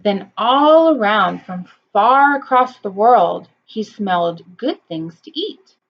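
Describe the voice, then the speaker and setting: A woman reading a children's picture book aloud, expressive, with long pauses between phrases. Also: medium pitch, highs cut off. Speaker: storybook reader.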